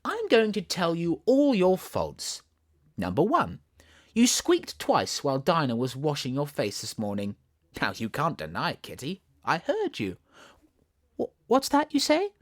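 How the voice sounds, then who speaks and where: Man putting on a lively, mock-stern young girl. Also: a little high, a little slow, voice pushed up, heavily acted. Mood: playful scolding. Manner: chattering, mock-stern, affectionate. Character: young girl, playful, mock-scolding her kitten.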